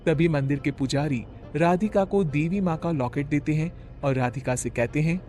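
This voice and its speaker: A man narrating a cartoon horror story in a steady storytelling manner. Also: fairly high pitch, moderate pace, faint music behind.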